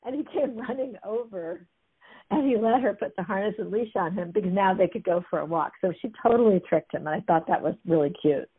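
A woman's voice: mid-range voice, fairly quick, slightly rough. A woman chattily retelling stories as a guest, amused, with many fillers. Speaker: guest discussing a book. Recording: tinny phone-like line.

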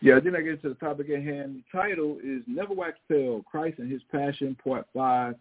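A man's voice: mid-range voice, brisk. A man from the American Midwest hosting a show, reading the news and commenting on it in a casual, emphatic way, often speaking straight to his listeners. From Missouri, American Midwest. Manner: conversational, emphatic, keeps addressing listeners directly. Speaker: show host and priest. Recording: tinny phone-like sound.

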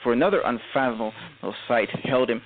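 A man giving dramatic scripted narration for an amateur radio play, halting and repeating phrases after stumbles. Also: medium pitch, a little hiss or hum. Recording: tinny, narrow phone-like sound.

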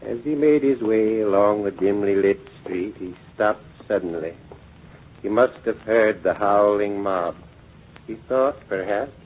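An actor playing a stern abolitionist who recounts events in measured, solemn oratory for a 1940s radio drama. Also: male voice, on the low side. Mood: grave, reflective. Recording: dull old radio broadcast recording.

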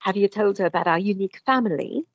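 A woman playing a caring mother of grown children who speaks in a calm, motherly way. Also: mid-range voice, quick pace, muffled recording, slight background noise, lightly acted.

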